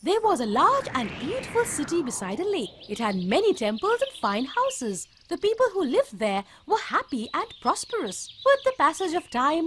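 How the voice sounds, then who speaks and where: High-pitched, animated woman storyteller. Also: slight background noise. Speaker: children's story narrator. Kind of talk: scripted bedtime story narration.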